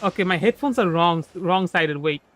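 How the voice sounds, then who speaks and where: A man's voice: high voice, expressive, normal speed, a bit bright, a little hiss or hum. A man from India giving casual, off-the-cuff commentary while streaming a video game. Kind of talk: casual live gameplay commentary.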